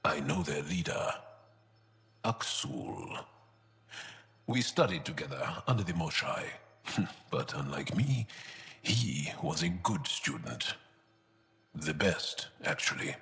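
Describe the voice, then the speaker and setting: An actor playing a gentle, earnest male alien resistance fighter in a video game, speaking in a measured, solemn, heartfelt way with a deep, gravelly voice. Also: sing-song, big-room sound, heavily acted. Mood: wry, thoughtful. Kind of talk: video game cutscene acting.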